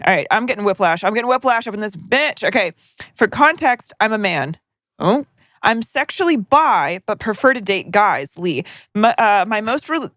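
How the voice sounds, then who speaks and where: A woman's voice: medium pitch, a bit bright, no room sound, clean studio sound. A young woman co-hosting a chat podcast, reading a listener's letter aloud with joking, profane asides. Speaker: podcast co-host.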